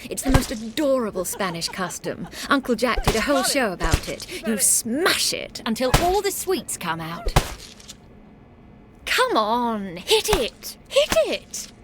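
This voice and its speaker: An actress playing a bubbly, brittle, judgemental socialite in a video game. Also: female voice, a little high, big pitch swings, measured pace, noisy background. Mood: gushing, urging. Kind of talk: video game character acting.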